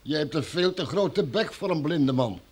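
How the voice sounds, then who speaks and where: A man's voice: fairly high pitch, animated, moderate pace, darkish tone, slightly rough. An actor playing a coarse, bullying henchman in a Dutch radio drama, crude and taunting in manner. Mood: menacing, sneering.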